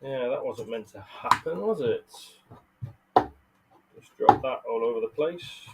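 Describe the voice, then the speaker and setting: A man's voice: medium pitch, lively intonation, takes it slowly, vocal fry, heavy background noise. Nasally blocked-up man chatting. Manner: rambling, laughs often, chats to viewers by name. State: blocked up nose, nasally from illness. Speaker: live streamer and bug keeper. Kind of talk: casual livestream chat. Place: bug room, indoors.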